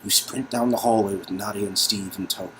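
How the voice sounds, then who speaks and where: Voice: low voice, steady tone, a little fast, noisy background. A narrator reading an interactive story game aloud in a dramatic style, breaking into casual, joking asides.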